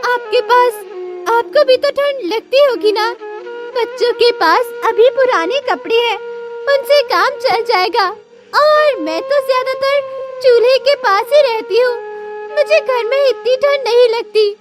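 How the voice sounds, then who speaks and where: Cartoon casting: devoted village wife, woman performing, extremely high pitch, tender and worried, clear music underneath. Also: a little slow. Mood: caring. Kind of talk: Hindi moral-story cartoon dialogue. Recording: loud music behind the voice.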